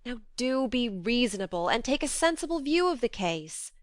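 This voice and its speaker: A woman playing an earnest, strong-willed young woman, speaking gently but firmly in a slightly raised, smooth voice. Her tone is close and dry. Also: fairly high pitch, moderate pace, dry recording, pitched above the performer's own voice, lightly acted. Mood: reasoning. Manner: earnest, reasoning, gently firm.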